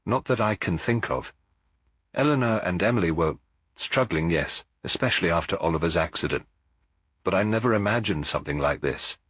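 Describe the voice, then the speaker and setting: A man's voice: low voice, lively intonation, a little fast, fairly bright tone, slightly rough, no room sound, full character voice. A man voicing a weary, grieving village family man who speaks hesitantly in a mystery story. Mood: hesitant, sad.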